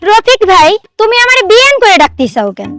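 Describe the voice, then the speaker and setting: Shrill, sharp-tongued village woman played by an actress. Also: wildly swooping pitch, a little fast, faint music behind, full character voice. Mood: indignant, snappy. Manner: snappy, dismissive. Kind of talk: village comedy drama acting.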